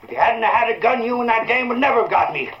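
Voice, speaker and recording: Blustering fat tough guy, raised pitch, slangy insults, echoey noisy radio. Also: animated, quick pace, dull audio. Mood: defiant, angry. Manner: loud-mouthed, slangy. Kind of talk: old-time radio crime drama acting.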